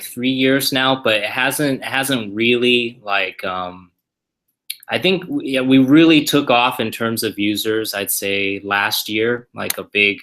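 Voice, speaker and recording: A man on company staff answering viewer questions in a casual livestream from the office, halting and full of fillers and restarts. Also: mid-range voice, fairly bright tone. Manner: halting, many fillers and repeated starts. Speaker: company staff member hosting a Q&A.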